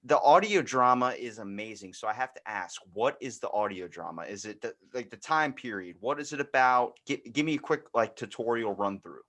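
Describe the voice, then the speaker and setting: A man's voice: mid-range voice, animated, normal speed, hardly any pauses, a bit bright. A man hosting a podcast in a casual, rambling way, peppering his talk with "like". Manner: informal, rambling, heavy use of "like". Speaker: podcast host. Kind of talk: casual chat, interviewing.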